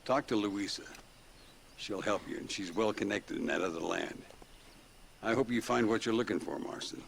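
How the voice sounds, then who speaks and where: An old gunfighter, low and gravelly. He sounds wry, fond and knowing, in a western game cutscene with clear noise behind him. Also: moderate pace. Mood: fond, wistful. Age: older. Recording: clear outdoor background noise.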